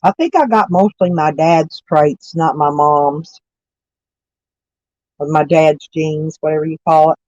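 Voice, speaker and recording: A hoarse woman with stuffy sinuses, rambling folksily as she chats with live viewers from her camper van. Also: low voice, measured pace, darkish tone, no room sound, dull audio. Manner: rambling, folksy, self-correcting. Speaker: vlogger chatting with live viewers. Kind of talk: casual morning chat.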